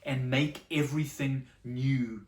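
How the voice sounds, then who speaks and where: Storyteller for a children's Bible tale, pausing and repeating for drama, heard from a distance in a roomy space. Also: mid-range voice, fairly even intonation. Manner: dramatic pauses and repetition for emphasis. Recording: echoey room, distant microphone.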